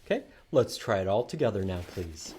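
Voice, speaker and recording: Animated man leading children's storytime. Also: on the low side, a little fast, noisy background. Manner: instructional, addressing young children with questions. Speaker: library storytime host. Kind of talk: children's read-aloud and sing-along.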